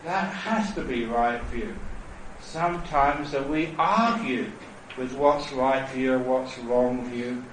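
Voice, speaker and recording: A Buddhist monk giving a dharma talk at a retreat, telling stories in a playful, persuasive way. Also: male voice, medium pitch, slow, slight background noise. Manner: storytelling, playful and warmly persuasive. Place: temple hall. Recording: echoey hall from a distance.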